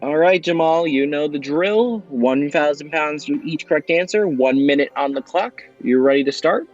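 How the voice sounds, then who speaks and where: A man hosting an amateur quiz show, upbeat and brisk as he announces questions. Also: on the high side, lo-fi sound, soft background music.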